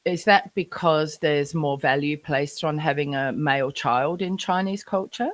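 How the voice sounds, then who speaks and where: A woman's voice: sits low, a little slow, no room sound. A woman hosting a podcast interview, asking eager, encouraging questions in a casual way. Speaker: podcast host.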